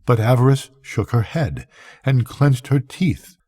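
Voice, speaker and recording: An older man reading a bedtime story as a grandfather, with measured, deliberate phrasing and pauses. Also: mid-range voice, lively intonation, a little mellow, no echo, clean studio sound.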